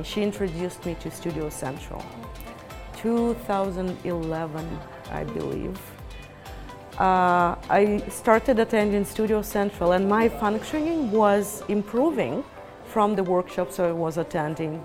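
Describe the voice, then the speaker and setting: A woman's voice: fairly low pitch, a little mellow. A woman from Ukraine, an artist, speaking earnestly and reflectively about her own life. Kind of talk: reflective interview testimony.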